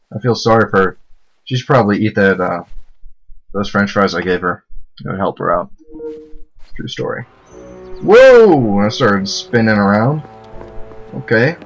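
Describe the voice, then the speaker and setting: Young male let's player, deep low voice, loose jokey rambling, faint music underneath. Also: dull audio. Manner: joking, rambling, laughs often. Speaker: let's play commentator. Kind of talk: casual gameplay commentary.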